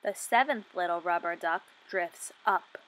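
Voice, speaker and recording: A woman in her twenties reading a picture book aloud for young children, asking questions and enunciating deliberately. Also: lowish voice, background noise. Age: 20s.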